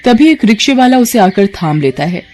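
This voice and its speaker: A woman's voice: medium pitch, very animated, normal speed. A woman narrating a scripted moral story as its storyteller. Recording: clear background noise.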